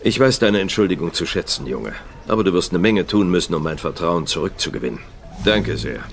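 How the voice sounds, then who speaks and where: A stern older father in a dubbed TV series. His voice is deep and gravelly, and he speaks in a gruff, measured way. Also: expressive. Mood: stern, restrained.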